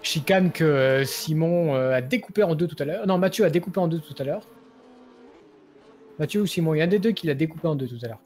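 A man's voice: fairly high pitch, quick pace, a little mellow. Playful man co-commentating a sim race. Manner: teasing, playful, mock-formal asides. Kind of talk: joking live race commentary.

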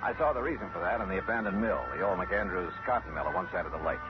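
An actor playing a wry, skeptical hard-boiled insurance investigator in old-time radio drama, with dry, clipped delivery and folksy asides. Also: male voice, low voice, fast talker, lightly acted. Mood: wry narration. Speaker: lead actor and narrator. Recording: muffled vintage radio recording, music behind.

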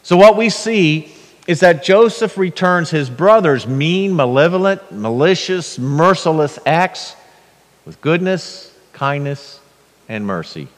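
An older man in his sixties, a pastor, preaching a sermon and reading scripture in a measured, emphatic way inside a church sanctuary. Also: on the high side, animated. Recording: long reverb of a church hall.

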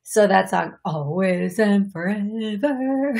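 Middle aged lady, raspy allergy voice, chatty and apologetic, laughs a lot, talking to webcam from her couch. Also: medium pitch, animated, takes it slowly, darkish tone. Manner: chatty, apologetic, laughs often, gestures with hands. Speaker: psychic medium show host. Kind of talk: solo livestream talk to viewers. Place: living room, seated on leather couch.

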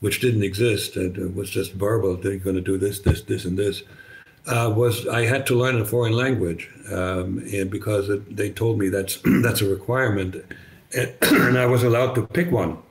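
An older man with a slight German accent, German native, telling his story as a podcast guest over a video call, halting with many restarts. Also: on the low side, steady tone. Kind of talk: conversational storytelling. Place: bright living room, indoors. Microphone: video call webcam.

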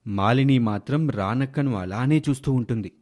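A man's voice: medium pitch, normal speed, darkish tone. A man narrating a scripted story as a storyteller.

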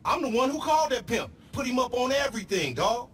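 A man's voice: very high-pitched, big pitch swings, fairly quick, a bit bright, a little hiss or hum, heavily acted. A man playing a brash, aggrieved hustler buddy in a video game, theatrical and boastful, with slangy trash talk. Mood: indignant. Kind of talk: video game comedy acting.

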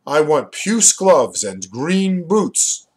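A man's voice: on the high side, very animated, very slow, voice pushed up, full character voice. Brash theatrical young male joker played by a male reader for a novel: jeering, swooping delivery. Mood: boisterous, mocking. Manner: bombastic, jeering. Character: brash, mocking, theatrical young Irish man.

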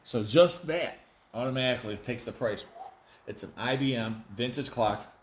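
A man's voice: medium pitch, moderate pace. A middle-aged man giving casual, playful commentary as he handles items, thinking aloud and given to exclaiming. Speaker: auctioneer and host. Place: large cluttered indoor workshop or warehouse. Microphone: handheld phone camera a step or two away. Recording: noisy handheld recording with handling clicks.

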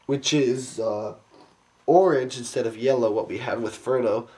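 Young male reviewer, unscripted and loose, filler-heavy delivery, average-pitched voice. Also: unhurried, warm tone. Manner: rambling, many fillers, enthusiastic asides. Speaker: toy reviewer. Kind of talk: casual unscripted toy review. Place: indoors, carpeted room.

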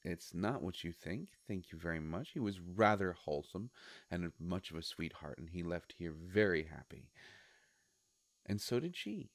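A man playing a sly devilish shopkeeper in a roleplay audio, coaxing and musing with hesitant pauses. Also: low-pitched, lively intonation, dry recording. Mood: wry, coaxing. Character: sly devilish male shopkeeper of a wish-granting store. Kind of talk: scripted roleplay audio monologue.

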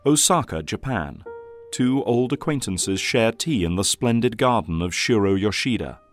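A male narrator tells a scripted drama in a low voice. His delivery is solemn and descriptive, with loud music running underneath. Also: lively intonation, moderate pace. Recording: loud music and effects behind.

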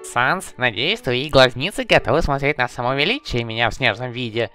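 A man's voice: medium pitch, fairly quick, sharp tone, soft background music, close to an everyday voice. A man voicing a boastful, naive, earnest skeleton brother in a comic dub, theatrically proud and grandiose in his phrasing. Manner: pompous, grandiose, formal phrasing.

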